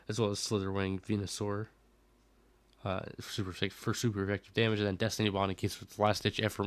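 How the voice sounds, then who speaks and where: A man's voice: lowish voice, no room sound. A young man who is a little sick, casually walking through his team for a competitive league match, thinking aloud with fillers and self-corrections. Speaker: Pokémon league player and video host. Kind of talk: casual team breakdown commentary.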